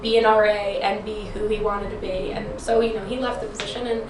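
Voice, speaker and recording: A woman's voice: medium pitch, level tone, a little fast, heavy background noise. A woman residence coordinator answering interview questions in a chatty way, with lots of "like" fillers. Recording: roomy echo, distant mic, loud music underneath.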